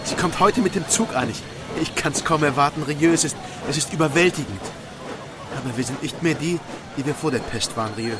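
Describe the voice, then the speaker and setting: A man's voice: fairly high pitch, brisk, close to an everyday voice. An actor in a radio play playing an overwrought, impatient man in love, breathless and stammering. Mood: nervous excitement. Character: overwrought, impatient man longing for his lover. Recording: noisy railway station ambience. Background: train and traffic noise.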